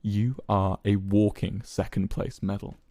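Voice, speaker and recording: A man's voice: fairly low pitch, level tone, measured pace, creaky, dark tone, dry recording. A man voicing a proud but rattled male leader in a dramatized story, stammering and repeating words, easily enraged. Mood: mocking, scornful.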